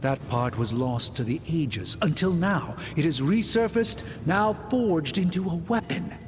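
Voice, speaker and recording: A man playing an anxious occult researcher in a video game cutscene, speaking formally and gravely with hesitant pauses. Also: on the high side, expressive, background noise. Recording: long reverb, music underneath.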